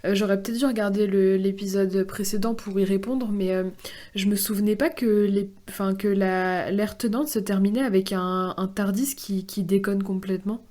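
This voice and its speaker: A woman with a mid-pitched voice, speaking as a panelist in a casual discussion. She thinks aloud, tentatively, correcting herself as she goes. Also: steady tone, moderate pace, dark tone. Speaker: podcast panelist.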